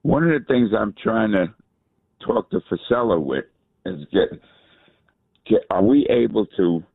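A man calling in to a radio show, rambling and halting as he talks. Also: lowish voice, lively intonation, gravelly, dry recording. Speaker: caller. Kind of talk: phone-in chat. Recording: tinny phone line.

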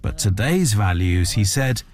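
A male foreign correspondent reading a measured, clearly narrated radio news report. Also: lowish voice, very animated, a little mellow.

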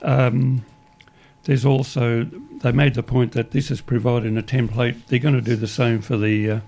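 An Australian man hosting a community radio show, with a croaky voice today, rambling through announcements with frequent restarts in an upbeat way. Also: mid-range voice, flat delivery, moderate pace, a little mellow.

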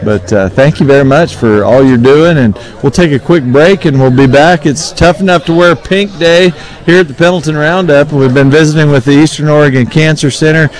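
Folksy male host, halting delivery. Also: fairly high pitch. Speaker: radio show host and interviewer. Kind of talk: casual live broadcast interview.

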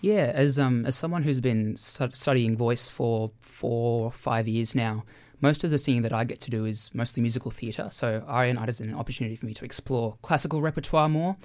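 A young man, a student singer, answers interview questions in a thoughtful, hesitant way. He fills the pauses with ums. His voice sits at an ordinary pitch. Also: darkish tone.

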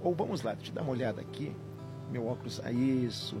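Gravelly-voiced pastor hosting night radio. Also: male voice, medium pitch, lively intonation. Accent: southern Brazilian. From Paraná, Brazil. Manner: gentle, prayerful, unhurried. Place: radio studio with music playing. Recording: music bed under the voice.

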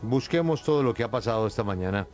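Brisk, teasing male show host. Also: fairly low pitch, expressive, a little mellow, soft background music. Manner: teasing, quick asides to co-hosts. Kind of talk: brisk, joking studio banter.

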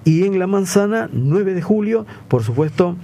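A man hosts a relaxed interview in a warm, mellow voice. He rambles, pausing often on fillers as he gathers his thoughts. A faint background hum sits under his words. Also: sits high. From not from Argentina. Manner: rambling, with many hesitations and fillers. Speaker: radio host interviewing guests. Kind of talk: casual interview chat.

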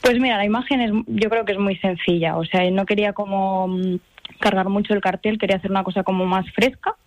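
A woman's voice: medium pitch, fairly even intonation, a little fast, low-quality recording. A woman in her twenties from Spain answering radio interview questions, chatty and hesitant, full of fillers and restarts. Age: 20s. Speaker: guest artist.

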